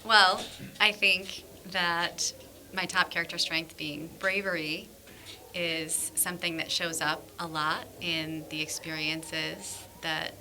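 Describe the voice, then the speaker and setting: A woman's voice: fairly low pitch, fairly even intonation, slow pace, sharp tone, a little hiss or hum. A woman, a former school principal, giving thoughtful, articulate answers as a guest in a podcast interview.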